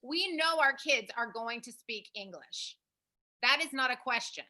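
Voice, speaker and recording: High, bright-voiced American woman, emphatic. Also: measured pace. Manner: animated, emphatic, repeats points. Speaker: host, language program founder. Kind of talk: casual advice discussion.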